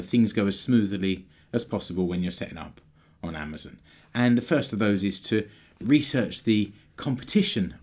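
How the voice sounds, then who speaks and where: A man with a fairly low voice, a little hoarse and croaky from a sore throat, hosts his own business podcast. He walks listeners through practical points in a plain, explanatory manner. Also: darkish tone. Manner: explanatory, plain-spoken, occasional restarts. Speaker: podcast host.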